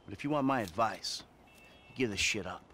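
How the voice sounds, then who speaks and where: An actor playing a jaded, hot-tempered middle-aged retired gangster in a video game, with an American accent and a cynical, sardonic way of talking. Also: male voice, on the low side, expressive, fairly quick, bright, forceful tone, a little hiss or hum. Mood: weary cynicism. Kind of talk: naturalistic video game acting.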